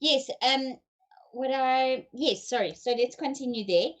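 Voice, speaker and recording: A woman's voice: high-pitched, measured pace. Woman host prompting her guest. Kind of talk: casual interview chat.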